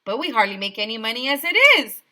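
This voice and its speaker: A woman's voice: a little high, animated, fast, bright, forceful tone, voice pushed up. A woman voicing exasperated school staff in a children's storybook, exclaiming in disbelief. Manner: exclaiming, incredulous. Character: indignant, exasperated school teachers yelling protests. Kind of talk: children's storybook read-aloud.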